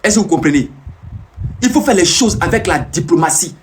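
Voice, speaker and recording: A man talks straight to his live viewers in a high voice, pressing the same warnings again and again. His delivery is animated and swoops widely, and he stops now and then to answer comments before he goes back to preaching. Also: a little fast, slight background noise. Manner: emphatic, repetitive, preaching warnings. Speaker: self-proclaimed peace ambassador streaming live.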